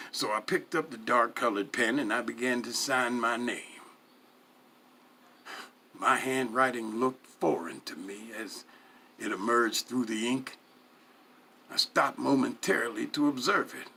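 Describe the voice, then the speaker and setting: A man giving a dramatic first-person narration, reading in a theatrical, deliberate way with pauses. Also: mid-range voice, gravelly, pushed and bright, a little hiss or hum. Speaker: story reader.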